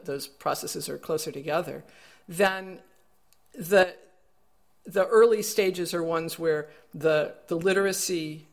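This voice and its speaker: Man, interview guest, gravelly fry, slightly high voice, explanatory, measured. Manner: thoughtful, explanatory, self-correcting. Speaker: education researcher guest. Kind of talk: interview answer.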